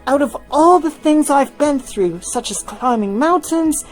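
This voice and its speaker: A woman's voice: sits high, lively intonation, unhurried, faint music behind, voice pushed up, poor audio. A woman voicing an earnest young woman who manages a zoo, in an audiobook-style story reading. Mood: tired.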